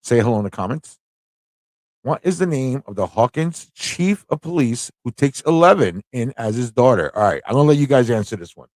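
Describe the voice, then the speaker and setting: A man's voice: medium pitch, expressive, brisk, darkish tone, no echo. A man hosting a casual live-stream trivia show, chatty and playful, often thinking aloud to his viewers. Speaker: host of a live pop culture show.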